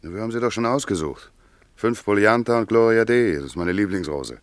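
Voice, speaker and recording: An actor in a German radio play playing a proud, beleaguered country doctor with a gruff, defensive manner. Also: male voice, fairly low pitch, expressive, fairly quick, dry recording.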